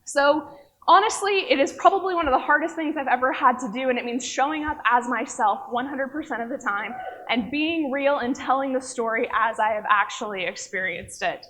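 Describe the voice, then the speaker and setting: A young woman from Oklahoma speaks from a stage in a fairly high voice. She tells her story in a rehearsed way and pokes fun at herself as she goes. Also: fairly quick, a bit bright, low-quality recording. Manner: wry, self-mocking humor. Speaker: speaker at a live event. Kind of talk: rehearsed personal talk. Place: stage before an audience.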